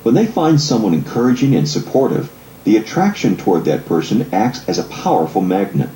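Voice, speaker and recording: Deep-voiced male audiobook narrator. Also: big pitch swings, fairly quick, warm tone, echoey room, a little hiss or hum, poor audio.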